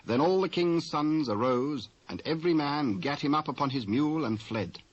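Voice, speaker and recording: A man's voice: a little high, expressive, moderate pace. A British man giving a scripted dramatic narration of the Bible in formal archaic English. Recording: dull old cassette transfer.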